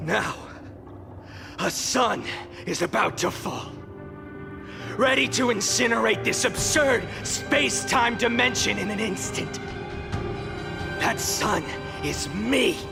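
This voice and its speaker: A young, vengeful warrior from a fantasy game, higher-pitched yet rough with gravel. The delivery is slow, theatrical and scornful, weighing every phrase with worn-out but burning defiance. Loud music plays behind the voice. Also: male voice, lively intonation, exaggerated voice. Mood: blazing fury. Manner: deliberate, grandiose, bitterly scornful delivery with long pauses. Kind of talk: dramatic English game voice acting, theatrical monologue.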